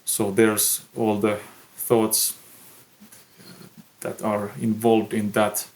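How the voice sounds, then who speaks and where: A young man with a Finnish accent, a musician answering interview questions in a thoughtful, pausing way. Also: fairly low pitch, completely flat delivery, a little mellow. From Finland. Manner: slow, thoughtful, pausing often. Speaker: musician guest. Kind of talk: podcast interview.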